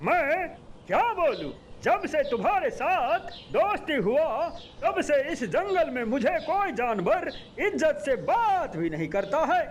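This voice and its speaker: A man's voice: very high voice, sing-song, unhurried, slight background noise, full character voice. A man voicing a put-upon, grumbling small animal sidekick in a Hindi cartoon, whining and complaining. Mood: exasperated.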